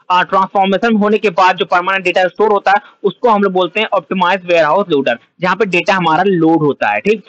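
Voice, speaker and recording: A man's voice: sits high, a bit bright, dry recording, poor audio. A man teaching a whiteboard lecture, who explains step by step and asks and answers his own questions. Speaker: computer science teacher.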